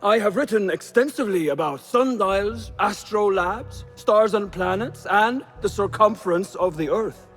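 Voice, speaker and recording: A man's voice: sits high, a little slow, hardly any pauses, fairly bright tone, faint music behind. An actor playing a self-important, opinionated older male astronomer in a video game. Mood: smug, dismissive. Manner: boastful, opinionated. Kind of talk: video game character acting.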